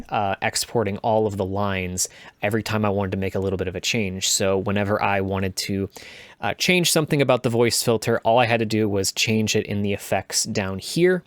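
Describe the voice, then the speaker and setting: A man presenting a casual tutorial as a game sound designer, explaining things in a measured way. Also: lowish voice, steady tone, no echo.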